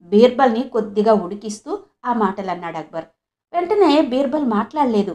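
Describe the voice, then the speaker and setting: High-voiced woman storyteller. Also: expressive, normal speed, mellow. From Andhra Pradesh, India. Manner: conversational, addresses listeners directly. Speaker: storyteller, channel host. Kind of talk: expressive moral storytelling.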